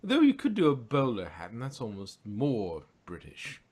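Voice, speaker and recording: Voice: medium pitch, huge pitch swings, normal speed, a little mellow, husky. A podcast co-host in casual, joking fan chat. The voice sits in a middle register and rises and falls freely.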